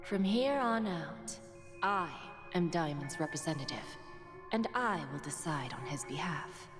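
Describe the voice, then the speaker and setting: Slow, imperious woman executive. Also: sits low, animated. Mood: commanding, condescending. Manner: deliberate, silky, condescending, breathy murmurs. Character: imperious, coolly menacing woman executive interrogating a prisoner. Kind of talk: dramatic game voice acting. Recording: long hall reverb, music underneath.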